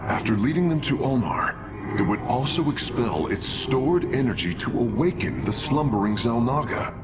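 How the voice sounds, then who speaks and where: An actor playing an earnest, scholarly alien engineer in a sci-fi game, explaining formally and hopefully. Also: male voice, mid-range voice, big pitch swings, moderate pace, lightly acted. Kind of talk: dramatic sci-fi game acting. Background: loud music.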